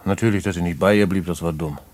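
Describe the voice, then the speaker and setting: Radio drama: male actor as jailed petty crook, low-pitched voice, colloquial, rueful, streetwise and fatalistic. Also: fairly even intonation, fast, darkish tone, lightly acted. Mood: wistful, regretful. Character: streetwise male small-time crook in jail, wistful, fatalistic. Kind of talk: naturalistic German radio play acting.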